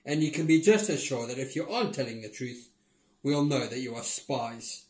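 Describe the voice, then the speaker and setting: A man's voice: mid-range voice, expressive, normal speed, darkish tone, close to an everyday voice. Guy doing mean bossy governor, accusing and stern, storybook drama, echoey room. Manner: commanding, accusing. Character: stern, mean male governor interrogating suspects. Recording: roomy indoor echo.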